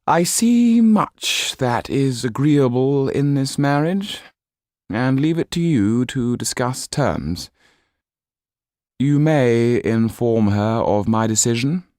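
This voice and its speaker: A pompous, peevish aristocrat, pitched well above a natural speaking voice and drawling haughtily. He is fussy and pleased with himself, every phrase drawn out. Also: lively intonation, takes it slowly, darkish tone, no echo, clean studio sound. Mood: self-satisfied, haughty. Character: vain, peevish, pompous French aristocrat man, a Marquis.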